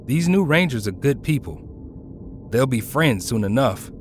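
An actor playing an easygoing, loyal teenage hero in an audio drama, speaking in a casual, slangy, supportive way. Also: male voice, mid-range voice, animated, a little slow, faint music behind, natural voice. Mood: sincere, encouraging.

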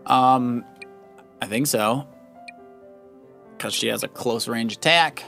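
A man talks casually over prominent video game music, coaching a player through the game. He explains options and gives advice in an everyday, mid-pitched voice. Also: takes it slowly, gravelly, sharp tone. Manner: advising, explanatory. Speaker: father co-hosting a children's let's play. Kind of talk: casual gameplay commentary and coaching.

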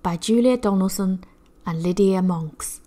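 A woman narrating a rhyming children's picture book in a measured, rhythmic, theatrical way. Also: mid-range voice, warm tone. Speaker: children's story reader.

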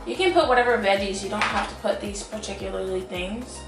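A woman's voice: medium pitch, brisk. A young adult woman talking in a casual, rambling cooking-vlog style, with lots of informal filler phrases like a chat with friends. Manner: informal, rambling, frequent "like" and "you know". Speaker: home cooking vlogger. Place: home kitchen, indoors. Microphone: camera across the table. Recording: roomy, distant camera mic, background music.

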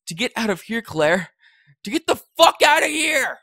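A man's voice: extremely high pitch, very animated, moderate pace, pushed and bright, no echo, heavily acted. A young man playing a defiant, sarcastic, foul-mouthed son in an audio drama. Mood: defiant, angry. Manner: sneering, sarcastic.

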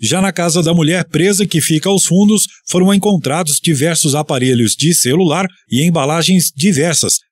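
A man from Brazil reading a police news bulletin on the radio in a steady, formal newsreading manner. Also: fairly high pitch, slightly rough.

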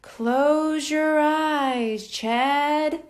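Storybook stage hypnotist, male, showy and commanding, smooth, drawn-out delivery, played by a woman. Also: very high voice, full character voice. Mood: hypnotic, soothing. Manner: theatrical, slow and deliberate.

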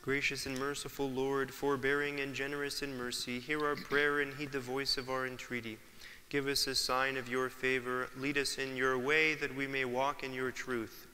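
A clergyman leading an Orthodox litany in a solemn, measured intoning manner. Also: mid-range voice, flat delivery, bright, forceful tone, slight background noise. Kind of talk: chanted liturgical litany. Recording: church reverb, faint ambience.

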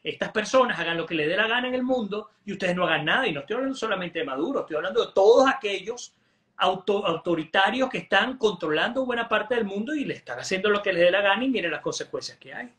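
Emphatic high-voiced man. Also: lively intonation, fast talker, fairly bright tone. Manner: emphatic, repeats words when restarting. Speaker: political analyst guest. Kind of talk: animated political commentary. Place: home room indoors. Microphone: video call webcam.

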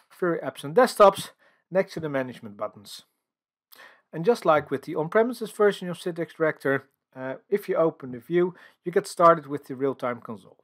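Male presenter, Dutch accent, higher-pitched voice, explanatory delivery full of uhs, tech-talk narration over slides. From Netherlands. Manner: explanatory, filler-heavy with frequent uhs. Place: voice-over for screen recording and slides.

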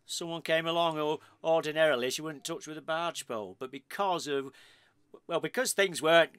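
An actor playing a weary, irritable British husband in an audio play, dryly sarcastic and exasperated. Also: male voice, on the high side, moderate pace, a bit bright, clear, resonant tone, no room sound, natural voice. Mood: defensive. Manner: dry, exasperated. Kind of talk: audio play acting, domestic bickering.

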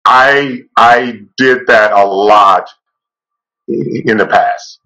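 Slow, husky low-voiced man. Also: pushed and bright, rough recording. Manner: stutters with repeated sounds. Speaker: webinar participant. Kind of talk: casual video call discussion. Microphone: video call.

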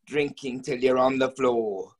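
An actor playing a weary, downtrodden working-class father, proud and bitter with grief, in a measured theatrical verse monologue. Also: medium pitch, steady tone, natural voice. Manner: slow, measured, theatrical delivery with refrains.

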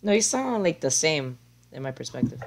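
A young woman chatting casually with a friend while livestreaming a game, playful and quick to react. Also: low-pitched, big pitch swings, gravelly, very noisy. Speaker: streamer playing a game. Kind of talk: casual gaming chat.

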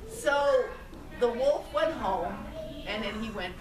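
A middle-aged woman telling a story to young children in simple phrases, often putting questions to them. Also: a little high. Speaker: storyteller and puppeteer. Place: daycare classroom, indoors. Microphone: camera mic at some distance. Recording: echoey noisy room, distant camera mic.

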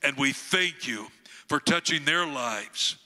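An older man in his sixties from the United States, a guest pastor with a booming voice, preaching and praying slowly into a handheld microphone on a church stage. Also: animated, bright, forceful tone. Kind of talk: sermon and prayer. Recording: long hall reverb, faint music behind.

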